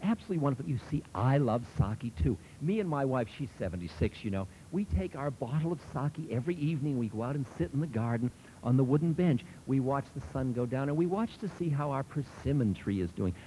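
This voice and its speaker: A man's voice: medium pitch, animated, a little fast, warm tone, slight background noise, natural voice, low-quality recording. A man voicing a tiny, cheerful old Japanese man in his seventies who talks in a delighted, chatty way.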